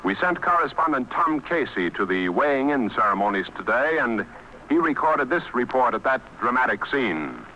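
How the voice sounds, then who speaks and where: A man anchoring a live radio news bulletin, calmly stalling as the links to his reporters fail. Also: mid-range voice, moderate pace, lo-fi sound, slight background noise. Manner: composed, stalling through failed links.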